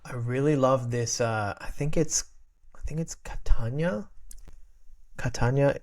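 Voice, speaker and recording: A man's voice: medium pitch, measured pace, darkish tone. A young man from Australia, a podcast guest who grew up speaking Albanian, chatting casually with many restarts and frequent laughs.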